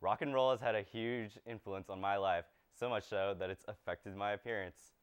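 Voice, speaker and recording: A man's voice: lowish voice, fairly even intonation, normal speed, clear, resonant tone. A young man presenting from a small TV studio, delivering scripted lines in an earnest, rehearsed way. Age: young adult. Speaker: student presenter.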